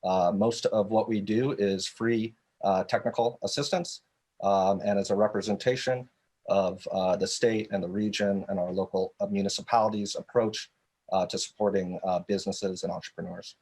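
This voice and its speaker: A husky man giving formal, prepared-sounding remarks as a panelist on a video call. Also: fairly low pitch, steady tone, normal speed, a little mellow. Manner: formal, jargon-heavy phrasing. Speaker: panelist, economic development director.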